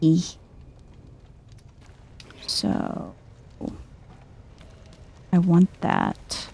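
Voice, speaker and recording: A soft-spoken woman giving hushed, chill ASMR-style video game commentary, thinking aloud to herself. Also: lowish voice, huge pitch swings, very slow, creaky, breathy edge.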